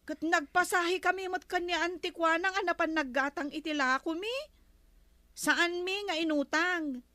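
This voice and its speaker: A woman with an Ilocano accent playing a sassy, firm village shop girl in a comedy radio drama, with expressive delivery. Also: extremely high pitch, moderate pace, dry recording. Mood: defiant.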